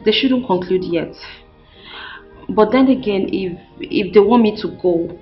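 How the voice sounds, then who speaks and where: A woman with a Nigerian accent playing a respectful young woman in a film drama, pleading haltingly and deferentially. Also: mid-range voice, lightly acted. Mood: earnest pleading. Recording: muffled lo-fi film audio, faint music.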